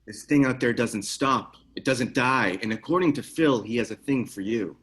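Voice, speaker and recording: A man playing a grave, haunted police detective in a screenplay table read, serious and measured. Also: mid-range voice, a bit bright, lightly acted.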